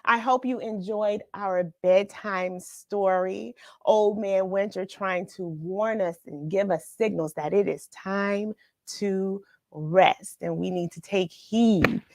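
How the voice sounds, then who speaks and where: A middle-aged American woman hosting a children's read-along livestream, chatting in an encouraging, unhurried way straight to her viewers. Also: medium pitch, darkish tone, no echo, studio quality. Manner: encouraging, unhurried, addresses listeners directly. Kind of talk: chatty livestream to viewers, greeting them by name.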